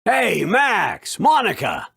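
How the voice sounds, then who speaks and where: Gravelly, hollering, hearty workman. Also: high voice, huge pitch swings, slow pace, pushed and bright, no echo, studio quality, exaggerated voice. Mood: hearty, eager. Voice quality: gravelly, raspy. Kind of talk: video game voice acting.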